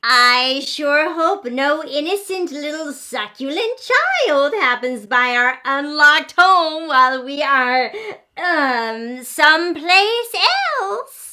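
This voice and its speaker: A woman's voice: sits high, animated, slow pace, bright, forceful tone, full character voice. A woman voicing a scheming mama dinosaur who feigns innocence in a sly, exaggerated storybook manner. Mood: feigned innocence.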